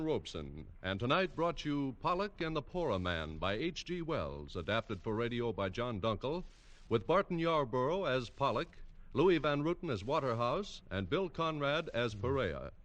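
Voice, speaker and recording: A man reading the closing credits as a radio announcer, formal and measured. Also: mid-range voice, sing-song, fairly bright tone.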